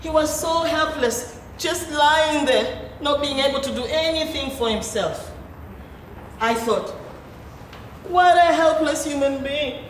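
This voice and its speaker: A woman playing an imperious, scheming middle-aged wife and mother in a stage play, theatrical and deliberate. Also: high voice. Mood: mocking, calculating. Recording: distant, echoey, noisy theatre recording.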